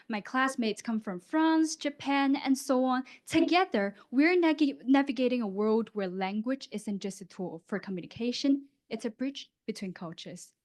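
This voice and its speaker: Young woman giving a rehearsed speech. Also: a little high. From Guangdong, China. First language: Cantonese. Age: young adult. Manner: rehearsed, expressive delivery with rhetorical questions. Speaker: student contestant. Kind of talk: prepared competition speech.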